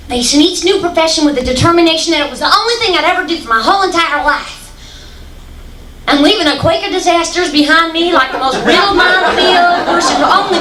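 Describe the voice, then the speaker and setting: A young woman from Texas telling a folksy, deadpan stand-up story with dark punchlines on a stage. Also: a little high. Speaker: stand-up comedian. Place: stage in an echoey hall. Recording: echoey hall, distant, faint hiss.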